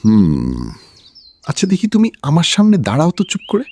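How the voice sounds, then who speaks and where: Highly animated, tender lovestruck young man. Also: brisk, a little hiss or hum. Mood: warm, playful. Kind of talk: Bengali animated love story dialogue.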